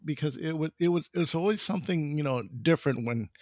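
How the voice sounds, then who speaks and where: A man's voice: a little high, fast, a little mellow, no room sound, studio quality. A man hosting a podcast, talking in a rambling way with many fillers and repeated words. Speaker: podcast host. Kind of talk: casual interview conversation.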